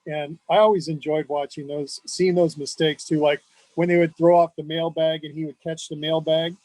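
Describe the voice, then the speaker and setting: A man with a fairly high voice talks as an interview guest, reminiscing in a conversational way. The sound is very dry, with no room echo. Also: little pitch movement, normal speed, a little mellow. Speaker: interview guest, longtime fan.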